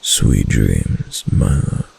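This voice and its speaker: Man voicing a very deep, gravelly, doting fox-spirit husband. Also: expressive, full character voice. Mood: adoring, soothing. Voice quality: deep, gravelly, husky, often breaking into whisper. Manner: slow, soft, adoring murmur with lingering pauses. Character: doting, possessive yandere kitsune husband, deep-voiced and tender. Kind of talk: intimate romantic ASMR roleplay.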